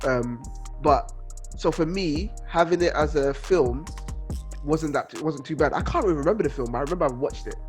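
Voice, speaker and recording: High-voiced man chatting casually. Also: brisk, faint music behind. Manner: emphatic, slangy, repeats himself for emphasis. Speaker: podcast co-host. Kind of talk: casual chat among friends.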